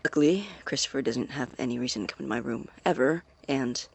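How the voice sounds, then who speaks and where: An actor playing a secretive, methodical male science student in an audio drama, dictating a tape diary with hesitant, precise wording in a gravelly, husky voice. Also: mid-range voice, fairly quick. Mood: clinical, uneasy.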